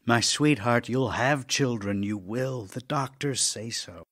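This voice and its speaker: A man's voice: medium pitch, unhurried, fairly bright tone. An actor playing an ailing middle-aged playwright husband in an audio drama, tender, intimate and wistful as he voices love letters. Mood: affectionate.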